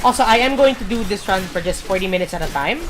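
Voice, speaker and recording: A woman streamer giving rambling gameplay commentary, full of fillers and pauses to search for words. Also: mid-range voice, expressive, music in the background.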